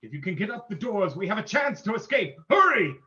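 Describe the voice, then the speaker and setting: A man's voice: sits high, big pitch swings, a little fast, background noise. A man playing a stern, commanding crime-fighting hero in a dramatic old-time radio drama reading. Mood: urgent. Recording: dull lo-fi sound.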